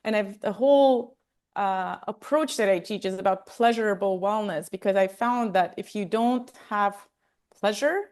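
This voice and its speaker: A woman's voice: mid-range voice, normal speed, darkish tone. A woman from Armenia, a wellness coach and interview guest, telling stories in a chatty, casual way with lots of fillers.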